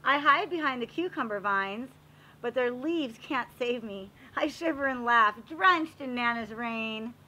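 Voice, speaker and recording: A woman reading a children's picture book aloud in an expressive, animated way, as if showing it to young listeners. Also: a little high, unhurried, a little hiss or hum, poor audio. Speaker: read-aloud reader for children.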